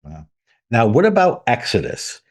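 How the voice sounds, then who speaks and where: A man's voice: mid-range voice, wildly swooping pitch, a little slow. A man co-hosting a gaming podcast who leads a news segment with short questions to his partner. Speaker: podcast co-host.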